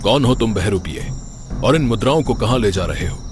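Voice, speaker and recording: A man voicing a calm, dignified, wise king in a cartoon story, with music behind. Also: lowish voice, fairly quick, close to an everyday voice. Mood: regal. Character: kind, wise male king. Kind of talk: cartoon voice acting. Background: background music.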